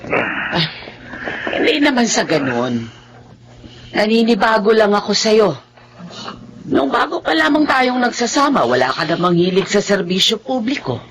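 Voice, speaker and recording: A woman's voice: medium pitch, very animated, unhurried, pauses often, slight background noise, poor audio. A woman playing a village captain's worried, suspicious wife in a Tagalog horror radio drama, concerned and probing.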